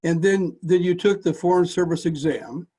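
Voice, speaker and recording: A man interviewing a guest over a video call, halting with fillers and restarts. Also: high voice, warm tone, slightly rough. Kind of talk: interview questions.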